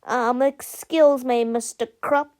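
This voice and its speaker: A woman's voice: high-pitched, steady tone, darkish tone. Woman as a smug, drawling tattletale schoolboy. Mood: hesitant. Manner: hesitant, drawn-out, smug.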